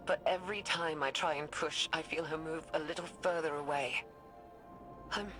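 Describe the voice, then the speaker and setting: An actress playing an earnest, weary scientist recording a game audio log in a thoughtful, measured way with hushed phrase endings. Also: female voice, deep voice. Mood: troubled, grieving. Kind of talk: confessional audio log, dramatic game acting. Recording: music behind the voice.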